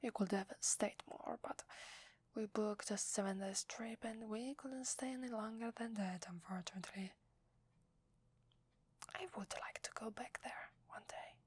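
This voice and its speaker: A woman with an Italian accent playing a tender, secretive werewolf wife in an ASMR roleplay, in a soft, hesitant whisper. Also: a little high, measured pace, slightly rough. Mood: tender, excited.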